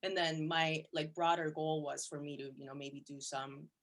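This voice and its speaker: Young woman, low pitch, from Korea, casual interview talk, filler-heavy. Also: steady tone, moderate pace. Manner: chatty, many fillers like "you know" and "like". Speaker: plastic surgery resident.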